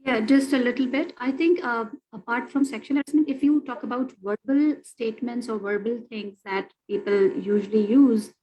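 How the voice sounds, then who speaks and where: Earnest woman speaker, slightly high voice. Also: normal speed, hardly any pauses, muffled recording. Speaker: webinar co-speaker. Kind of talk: discussing on a webinar video call. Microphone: video call.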